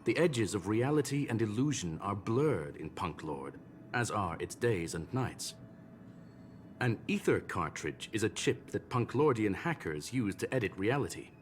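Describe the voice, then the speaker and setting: An actor voicing a learned, formal gentleman robot in a video game, with courteous, measured phrasing. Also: fairly low pitch, lively intonation. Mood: calm, explanatory. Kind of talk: scripted video game dialogue. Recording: game music behind.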